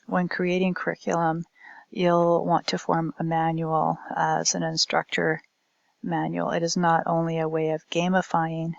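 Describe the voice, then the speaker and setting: A woman giving a recorded academic presentation as a language instructor, speaking in a halting, hesitant way. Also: low-pitched, gravelly, dry recording, dull audio.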